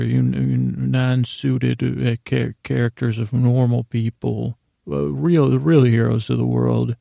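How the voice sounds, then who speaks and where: A middle-aged man hosting a bedtime podcast, rambling whimsically in a creaky voice, often halting and losing his thread. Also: mid-range voice, no echo, studio quality. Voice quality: creaky, gravelly, mellow voice. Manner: meandering, halting, trails off mid-thought, whimsical. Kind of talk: rambling, improvised bedtime monologue to listeners.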